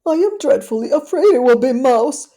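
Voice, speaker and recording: A fussy, anxious little lady dog from a storybook, as a woman reader puts her on, fretting aloud to herself in a raised, lilting voice with a changed, lighter tone. She sounds polite but worried, with a soft, mellow edge. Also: high voice, lively intonation, moderate pace, voice pushed up. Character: fretful, scheming genteel little female dog. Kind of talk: storybook character voice.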